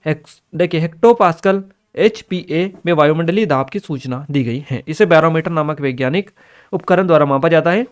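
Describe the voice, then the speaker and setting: Guy, slightly high voice, going thru exam questions and answers like a teacher. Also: normal speed. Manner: instructional, reads notes aloud, checks in with listeners. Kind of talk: exam paper analysis lesson, reading out questions and explaining answers.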